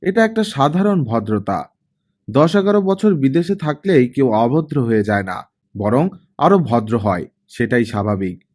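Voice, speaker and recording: A male audiobook narrator reads fiction with a mellow, relaxed tone. His intonation moves widely as he tells the story. He sets up scenes and slips into dramatized dialogue. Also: mid-range voice, a little slow.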